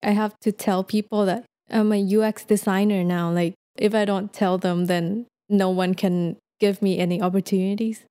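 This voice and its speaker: A woman's voice: mid-range voice, steady tone, normal speed, hardly any pauses, warm tone, smooth, no echo, studio quality. A woman hosting a podcast, asking questions and encouraging her guest in a casual chat. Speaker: podcast host.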